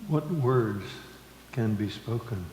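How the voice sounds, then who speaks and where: Slow, mellow man preaching in echoey hall. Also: mid-range voice. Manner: deliberate, halting short phrases with long pauses. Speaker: worship leader. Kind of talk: solemn congregational sermon. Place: large congregation hall. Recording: echoey reverberant hall with background noise.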